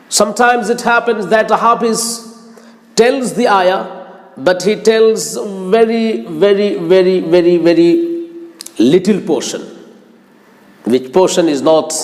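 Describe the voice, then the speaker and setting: A man delivers a religious lecture in a long, ringing reverb. His voice sits high and he speaks very slowly and deliberately. He stresses and repeats phrases as a teacher would. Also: a little mellow.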